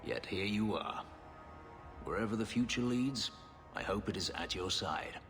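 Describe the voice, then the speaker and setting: An actor playing a bitter but loyal elven former slave in a video game, speaking gravely and guardedly. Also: male voice, on the low side, unhurried, a bit bright. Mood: earnest, resolute. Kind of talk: dramatic video game acting. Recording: long hall reverb, faint music behind.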